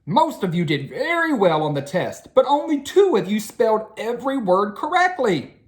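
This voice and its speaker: A strict storybook schoolmaster acted out by a woman speaks formally to his pupils. Each phrase is emphatic, with raised, varied pitch. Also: sing-song, normal speed, natural voice. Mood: firm announcing. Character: strict male schoolteacher addressing his class.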